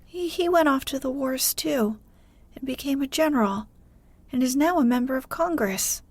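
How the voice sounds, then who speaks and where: A woman's voice: high voice, moderate pace, a little mellow, dry recording, voice pushed up, lightly acted. Woman putting on a thin, piping old man. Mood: matter-of-fact, reminiscing. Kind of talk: storybook character voice.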